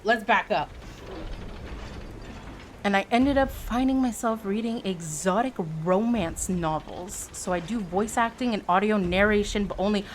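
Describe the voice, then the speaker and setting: A woman's voice: medium pitch, slight background noise. Chatty, playful woman streamer. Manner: chatty and expressive, teasing and playful. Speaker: livestreamer. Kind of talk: casual chat with viewers.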